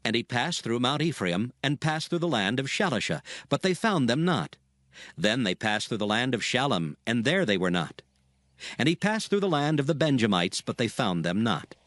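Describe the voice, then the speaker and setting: A man reading scripture narration in a measured, formal manner as storyteller. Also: medium pitch, big pitch swings, fairly bright tone, no room sound. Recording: faint music behind the voice.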